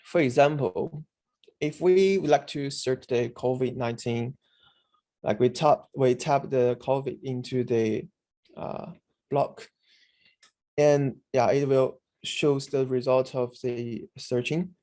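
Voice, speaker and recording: Halting man. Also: medium pitch, steady tone, mellow. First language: Chinese. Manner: halting, many fillers and restarts. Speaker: instructor demonstrating a database. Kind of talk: screen-share tutorial.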